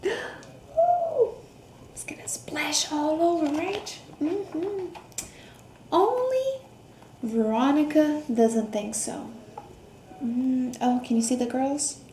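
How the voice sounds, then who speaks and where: A woman reading a picture book aloud to children, expressive, with questions, gasps, hums and hushed asides. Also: high voice, extremely slow, pauses often. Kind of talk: interactive children's read-aloud with questions to young listeners. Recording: roomy echo, faint background noise.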